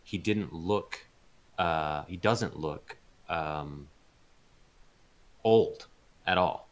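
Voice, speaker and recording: A man chatting casually as a voice actor being interviewed, thoughtful and halting as he searches for words. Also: sits low.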